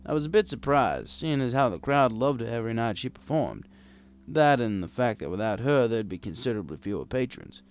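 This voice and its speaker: A man's voice: medium pitch, animated, fairly quick, clean tone, faint music behind. A man narrating a scripted first-person story as a world-weary, kindly old bartender. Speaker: audiobook narrator.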